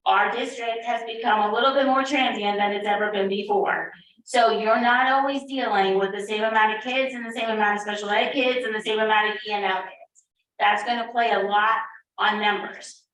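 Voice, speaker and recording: A woman's voice: mid-range voice, fairly even intonation, a little fast, fairly bright tone. A woman school official at a meeting, directly restating a question and explaining the data. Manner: direct, clarifying. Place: large meeting room. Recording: very echoey, distant, faint room noise.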